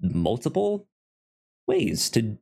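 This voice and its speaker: Slow, halting man chatting. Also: medium pitch, wildly swooping pitch, creaky, mellow, husky. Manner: halting, repeats words, trails into vague phrasing. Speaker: podcast co-host. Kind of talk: casual film discussion chat.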